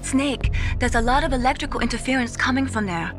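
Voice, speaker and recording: An actress playing a helpful, earnest communications specialist in a video game briefing. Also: female voice, on the high side, a little fast, over music, natural voice.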